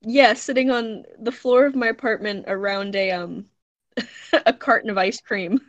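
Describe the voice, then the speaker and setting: A woman's voice: a little high, unhurried, lo-fi sound. A woman co-hosting a casual podcast, playful and teasing, tossing out rhymes. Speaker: podcast co-host. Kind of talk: casual chat.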